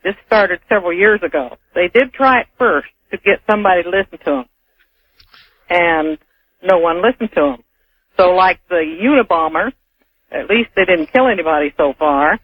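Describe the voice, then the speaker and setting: An American woman speaks as a radio caller, halting and argumentative. Her voice comes dry over a dull telephone line, as heard on AM radio. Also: lowish voice, animated, no room sound. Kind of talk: unscripted opinionated phone talk.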